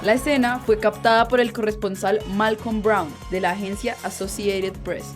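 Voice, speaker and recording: A woman playing a grave radio news reporter, reading a scripted bulletin in a formal, measured way. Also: mid-range voice, steady tone, natural voice. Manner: formal, measured newsreader delivery. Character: grave female news reporter announcing breaking events. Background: music under the voice.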